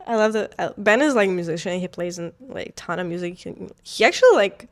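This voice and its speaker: A woman's voice: on the low side, lively intonation, brisk. Young Ukrainian woman, chatty couple vlog. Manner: chatty, lots of "like" fillers. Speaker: vlogger. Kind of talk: casual couple chat.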